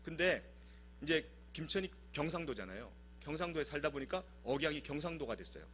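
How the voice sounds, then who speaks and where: A man from South Korea preaching a sermon in church, telling stories in an animated, humorous way. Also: fairly high pitch, a little fast, slight background noise. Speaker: pastor. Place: church service.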